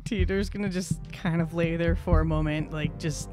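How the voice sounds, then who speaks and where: Guy w a highish voice playing dnd-style tabletop, halting casual narration, music going behind him. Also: fairly even intonation. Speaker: player in a tabletop role-playing game. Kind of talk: casual in-character play and narration. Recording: clear music bed behind the voice.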